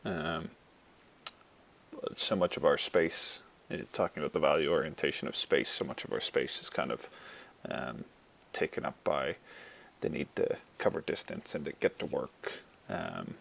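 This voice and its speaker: Young Canadian male, student presenter. Low register, very creaky, hesitant informal delivery. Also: sing-song. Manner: hesitant, halting, thoughtful phrasing. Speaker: university student. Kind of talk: informal spoken class presentation to camera.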